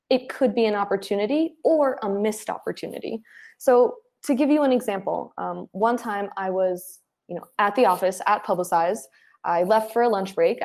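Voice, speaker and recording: A woman speaking as an interview guest, giving advice and telling anecdotes in an animated, conversational way. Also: medium pitch. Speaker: interview guest, head of client acquisition.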